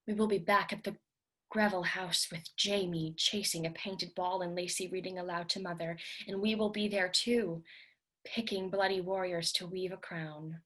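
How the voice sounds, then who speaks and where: A woman giving a measured, dramatic reading of a literary novel, in the style of an audiobook. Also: on the low side, fairly bright tone. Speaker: reader of literary fiction.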